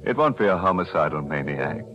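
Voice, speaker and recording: A man's voice: rumbling low, lively intonation, brisk. An actor playing a mocking, macabre radio horror host who chuckles at his own grim jokes, in 1940s radio acting. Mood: sly. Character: mocking macabre male host, ghoulish joker. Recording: dull old radio recording with music.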